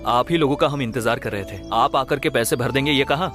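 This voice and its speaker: A man voices an earnest young son in a dubbed film, sincere and hurt. His voice sits at an ordinary male pitch with loud music behind. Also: brisk, close to an everyday voice. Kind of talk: Hindi film dubbing.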